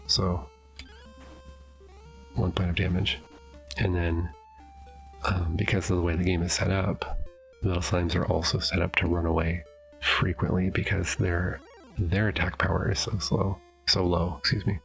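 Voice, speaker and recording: A man's voice: deep voice, little pitch movement, measured pace, gravelly, pauses often, fairly bright tone, faint music behind. A middle-aged man with a stuffy nose, casually thinking aloud while commenting on his gameplay from his home basement. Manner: thinking aloud, hesitant, self-correcting. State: stuffy, sniffling. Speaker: let's play host.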